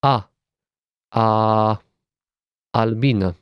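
A man with a Romanian accent teaching a recorded language lesson, saying each letter slowly and clearly. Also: on the low side, steady tone, dry recording, lo-fi sound. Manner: measured, careful enunciation of letters.

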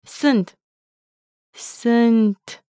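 A woman teaching a language, saying each word slowly and clearly twice in a scripted lesson. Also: on the high side, warm tone, no room sound, studio quality. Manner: slow careful pronunciation with repeats. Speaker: native-speaker language teacher.

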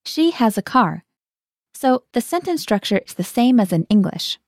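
A woman's voice: a little high, sing-song, moderate pace, no echo, studio quality. A woman hosting a scripted language lesson, guiding the learner and translating each phrase into English.

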